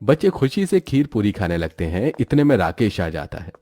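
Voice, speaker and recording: Mellow male cartoon story narrator. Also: lowish voice, big pitch swings, moderate pace, dry recording, studio quality, faint music behind.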